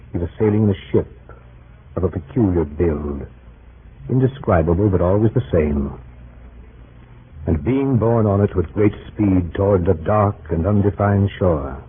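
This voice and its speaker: A man narrating an old-time historical radio drama in a grave, measured storytelling manner. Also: low voice. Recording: noisy, tinny old radio recording.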